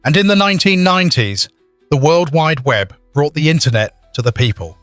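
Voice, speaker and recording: A man's voice: a little high, animated, normal speed, fairly bright tone, no echo, faint music behind. A man voicing a learned stone puppet giving a comic educational talk, didactic and dryly humorous. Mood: earnest, didactic. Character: learned, wise talking stone puppet lecturer. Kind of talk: comedic educational monologue.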